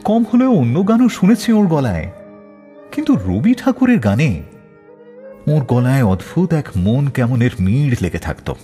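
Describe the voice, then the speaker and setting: A man hosting a radio music show, narrating a scripted story in a measured, expressive way. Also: mid-range voice. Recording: long studio reverb, faint music behind. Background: soft background music.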